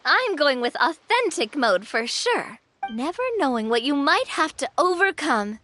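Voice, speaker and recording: A confident, playful young woman in animated game style. Her voice is high and bright, swinging widely with eager expression. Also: measured pace, soft background music, heavily acted. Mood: excited, teasing. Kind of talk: animated video game acting.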